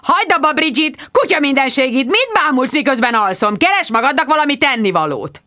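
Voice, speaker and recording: A woman reading aloud, voicing a grumpy man who shouts at being watched in his sleep. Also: high voice, very animated, pitched above the performer's own voice, exaggerated voice. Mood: irritated. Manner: shouting, irritated. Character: grumpy man just woken, barking orders.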